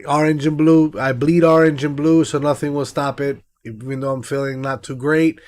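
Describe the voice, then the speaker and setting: A young man with a congested, nasal voice hosting a casual post-game sports stream, giving shout-outs and running through stats with plenty of fillers. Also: fairly high pitch, level tone, moderate pace. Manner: hype, shout-outs, stat rundown with fillers. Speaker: sports podcast host.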